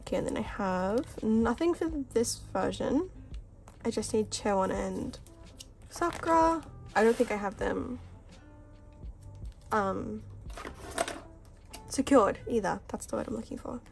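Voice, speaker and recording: A young woman, chatting casually as a vlogger while she sorts her binders, her voice rough from a cough, often giggling. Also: a little high, expressive, unhurried, long pauses, a little mellow, soft background music. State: sounds sick, coughing voice. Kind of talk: casual chat. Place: indoors at a desk. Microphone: overhead camera, hands only.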